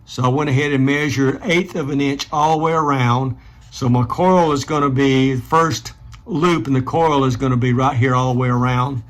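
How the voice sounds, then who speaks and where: Man, mid-range voice, casual DIY host, step-by-step explaining, unhurried delivery. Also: medium pitch, steady tone. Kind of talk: casual hands-on tutorial.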